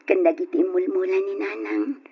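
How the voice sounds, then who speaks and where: A woman's voice: mid-range voice, flat delivery, moderate pace, vocal fry, husky, heavily acted. A woman acting a grieving young woman in an old radio drama, with a tearful, melodramatic delivery. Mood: subdued, sorrowful. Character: grieving young woman who lost both parents. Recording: dull lo-fi radio drama with music.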